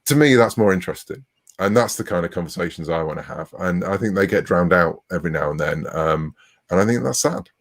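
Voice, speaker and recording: Deep-voiced, chatty man. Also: animated, a little fast. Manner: chatty, self-interrupting. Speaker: interview guest, writer. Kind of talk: casual conversational interview.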